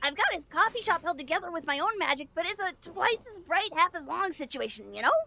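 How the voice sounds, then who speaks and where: A woman playing a brash, hurried tech pixie in an audio drama, with a snappy, wisecracking delivery. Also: very high voice, animated, gravelly, sharp tone, exaggerated voice. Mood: urgent.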